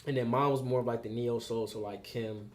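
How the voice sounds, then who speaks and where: A young man from Detroit, a rapper, talking about his music in a relaxed, slangy podcast chat. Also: mid-range voice, a little fast, slight background noise. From Detroit, USA. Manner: laid-back, slangy, repeats "you know what I'm saying". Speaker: rapper being interviewed.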